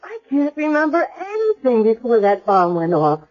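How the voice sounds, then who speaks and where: A woman's voice: fairly high pitch, wildly swooping pitch, moderate pace. An actress playing a young woman with amnesia, hesitant and stammering, in a 1970s radio drama. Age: young adult. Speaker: patient with amnesia. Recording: dull old radio broadcast.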